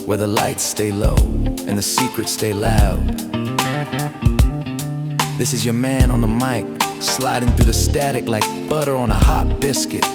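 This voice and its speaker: An actor playing a smooth-talking, flirtatious male radio host in a radio play, with slick, rhythmic, swaggering patter. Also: medium pitch, fairly even intonation, a little slow, loud music behind the voice, lightly acted. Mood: slick, inviting.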